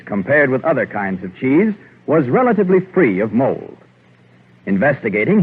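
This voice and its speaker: An American man as a 1940s radio announcer, reading a sponsor's script in a formal, measured delivery. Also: medium pitch, husky. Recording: narrow, muffled 1940s radio recording.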